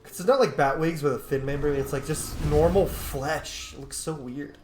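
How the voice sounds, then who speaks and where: A man with a fairly high voice streams a game in a roomy, echoing space. He comments casually, swearing and joking, with faint music behind him. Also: normal speed. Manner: informal, swearing, joking asides. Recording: roomy echo on voice.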